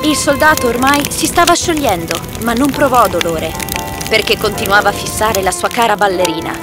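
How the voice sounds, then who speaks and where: A woman's voice: fairly high pitch, moderate pace. A woman reading a scripted children's fairy tale as its narrator. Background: loud background music.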